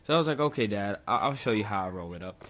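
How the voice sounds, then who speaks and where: A man's voice: lowish voice, animated, quick pace, a bit bright. A young man from Bosnia casually telling a rambling, profane story, full of "like" and swearing. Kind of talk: casual storytime over gameplay.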